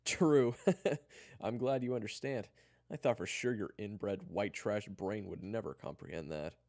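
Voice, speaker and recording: A man voicing a smug, taunting schoolboy rival with a falsely polite, smooth-talking manner in a narrated story. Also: lowish voice, big pitch swings, moderate pace, a little mellow, no room sound, studio quality, voice pushed up, heavily acted. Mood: smug, mocking.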